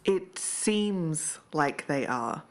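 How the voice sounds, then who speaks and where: A woman acting as a sceptical podcast investigator with a notably deep voice. She talks in a measured, cautious way as a co-host weighing evidence. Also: lively intonation, heavily acted.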